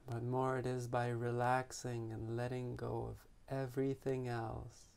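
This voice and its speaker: A man guides a meditation in a gravelly, creaky voice. His delivery is very slow and gentle, with long pauses between phrases. The pitch stays flat and even throughout. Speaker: meditation teacher.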